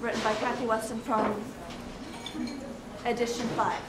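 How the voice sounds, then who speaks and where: A woman's voice: fairly high pitch, little pitch movement, measured pace. A woman reciting a literary piece aloud. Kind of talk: recitation of poetry. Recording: distant mic, echoey room, clear noise.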